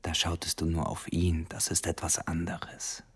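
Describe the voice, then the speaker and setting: An actor in a literary German radio drama playing a poor, jealous suitor, intense and insistent, with a menacing, possessive love. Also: male voice, low voice, monotone, moderate pace, fairly bright tone, breathy edge, full character voice. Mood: brooding, secretive.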